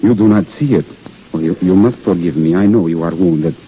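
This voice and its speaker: An actor playing a weary, gentle army priest, halting and solemn, in an old radio drama. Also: male voice, deep voice, close to an everyday voice. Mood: troubled, earnest. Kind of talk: 1930s-40s radio drama acting. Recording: muffled, noisy old radio broadcast.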